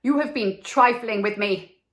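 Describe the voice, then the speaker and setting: Storybook swallow, played by a woman: small, male, romantic, blunt and self-important, slow delivery. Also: a little high, a bit bright. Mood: indignant. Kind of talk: storybook character voice.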